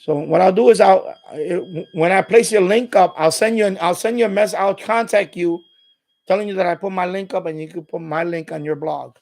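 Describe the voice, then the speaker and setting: A man's voice: high-pitched, fairly quick, creaky, darkish tone. A middle-aged man chatting casually and unscripted as a live stream host, rambling and repeating himself as he explains.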